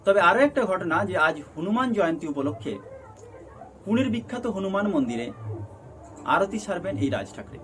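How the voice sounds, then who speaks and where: A man with a Bengali accent presenting a news explainer in halting, emphatic phrases. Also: high voice, very animated, fairly bright tone. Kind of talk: scripted news explainer narration. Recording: noticeable background noise.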